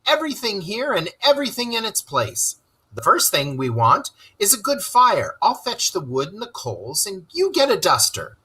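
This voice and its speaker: Practical, cheery storybook rat, put on by a reader, encouraging and brisk, forceful with a slight rasp. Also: medium pitch, huge pitch swings, pitched above the performer's own voice, full character voice. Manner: brisk, upbeat, coaxing. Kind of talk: children's storybook read-aloud.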